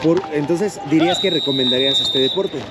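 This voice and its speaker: A man asking quick, casual questions in a vox-pop street interview at outdoor basketball courts. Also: on the high side. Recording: loud crowd and street noise outdoors.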